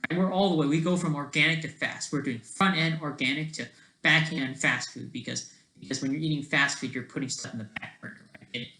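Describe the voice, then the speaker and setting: A man talking off the cuff in a casual, rambling monologue, halting and jumping between thoughts, often checking in with questioning tags. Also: fairly high pitch, sharp tone. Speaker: solo online video creator. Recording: echoey, roomy sound.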